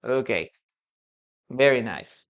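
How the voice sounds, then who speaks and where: Slow, patient teacher with a Peruvian accent. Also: mid-range voice, lively intonation. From Peru. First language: Spanish. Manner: patient, repeats key phrases, checks in with "okay?". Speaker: English teacher. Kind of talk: live online English class.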